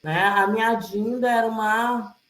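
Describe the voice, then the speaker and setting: A woman speaks casually, like someone telling stories aloud. She goes slowly and haltingly, often checking in with listeners, in a roomy, echoey video-call sound. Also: fairly high pitch, steady tone, a bit bright. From Rio Grande do Sul, Brazil. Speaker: community elder and presenter at a cultural gathering. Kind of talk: casual oral storytelling. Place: online video call, roomy indoor space.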